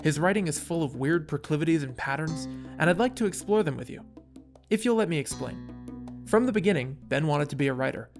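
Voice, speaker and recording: A man with a slightly high voice narrates a scripted video essay. His delivery is casual and wry, leaning into dramatic storytelling. Soft guitar music plays behind him. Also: expressive, brisk.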